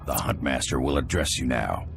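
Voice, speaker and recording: A man's voice: extremely low pitch, gravelly, noisy background. An actor playing a dignified male official of a warrior order with a formal manner in a video game. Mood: solemn approval. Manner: formal, measured.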